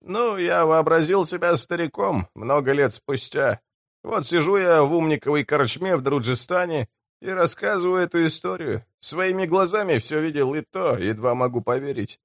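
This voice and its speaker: Guy doing a chatty ironic rogue man, higher voice, animated, fantasy audiobook. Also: normal speed, dry recording, studio quality. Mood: incredulous. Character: wry, talkative rogue man, friendly and ironic.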